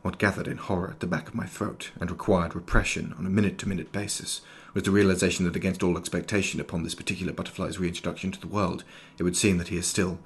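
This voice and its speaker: Guy doing a low husky 1880s doctor for audio drama, super formal wordy way of talking, bookish. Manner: precise, ornate, old-fashioned diction. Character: erudite, formal 19th-century doctor, inwardly fearful, sharp-eyed. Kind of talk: scripted audio drama, journal narration and dialogue.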